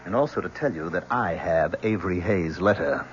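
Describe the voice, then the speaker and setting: Casting: needling, self-assured blackmailer with lively swings, 1970s radio play, dull slightly noisy recording. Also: male voice, deep voice. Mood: smug, taunting. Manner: needling, deliberate. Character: taunting, self-assured younger male blackmailer. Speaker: actor in radio mystery play. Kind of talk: 1970s radio drama acting. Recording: muffled, hissy old radio recording.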